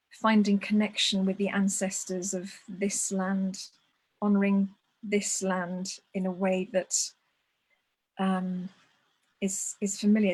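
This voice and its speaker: A woman's voice: medium pitch, low-quality recording. Level-voiced woman, thoughtful. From Wales. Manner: thoughtful, restarts phrases, steady even delivery. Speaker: guest speaker on ritual tradition. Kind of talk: reflective conversation. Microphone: video call.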